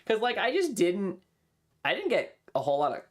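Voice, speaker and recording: A man chatting casually on a livestream, rambling and halting, swearing freely as he talks. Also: sits high, huge pitch swings. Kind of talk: casual rambling stream chat.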